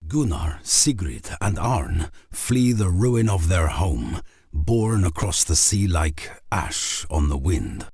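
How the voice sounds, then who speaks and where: Saga narrator for a game: grave, solemn storyteller, extremely low and slow. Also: male voice, vocal fry, breathy edge, no room sound, clean studio sound, exaggerated voice. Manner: solemn, measured.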